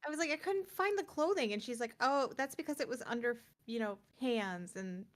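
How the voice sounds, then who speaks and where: A woman's voice: fairly high pitch, lively intonation, brisk. A woman co-hosting a gaming show in casual, informal conversation, telling things in an anecdotal way. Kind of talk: casual chat about video games.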